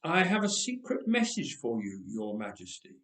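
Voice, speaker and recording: A man's voice: mid-range voice, wildly swooping pitch, normal speed, fairly bright tone, voice pushed down. A man voicing a resolute assassin posing as a humble envoy in a storybook reading, formal and deferential. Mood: deferential, secretive. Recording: roomy, echoing indoor sound.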